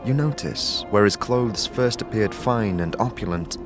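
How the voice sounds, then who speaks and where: A man's voice: fairly low pitch, expressive, unhurried, music in the background. A man narrating a fantasy tale in the second person as dramatic audio storytelling.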